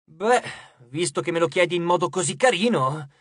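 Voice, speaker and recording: A man's voice: high voice, animated, moderate pace, fairly bright tone, no room sound, clean studio sound. A man voicing a sarcastic, scrappy teenage demigod boy in an audiobook, with a flippant, wisecracking manner. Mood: flippant, irritated. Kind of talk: audiobook character voice.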